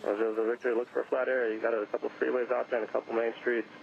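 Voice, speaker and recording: A man's voice: mid-range voice, level tone, rapid-fire, gravelly, lo-fi sound. A man working as an air traffic controller, speaking in clipped phrases over the radio. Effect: aviation radio.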